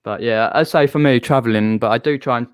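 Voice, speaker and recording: A man's voice: mid-range voice, a little fast, smooth, no room sound, studio quality. A man with a southern English accent hosting a casual live-stream chat, rambling and chatty with frequent restarts. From southern England.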